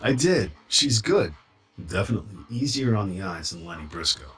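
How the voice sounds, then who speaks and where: An actor playing a dutiful, wry police detective in a video game. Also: male voice, lowish voice, big pitch swings, moderate pace, fairly bright tone. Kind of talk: video game dialogue acting.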